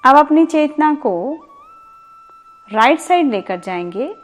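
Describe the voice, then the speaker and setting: A woman guiding a sleep meditation as a yoga instructor, in a calm, soothing and deliberate manner with pauses and repeated words. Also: high-pitched, faint music behind.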